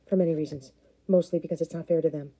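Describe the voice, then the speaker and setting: A woman voicing a calm, plainspoken male herder from the far north who talks steadily. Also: on the high side, fast talker.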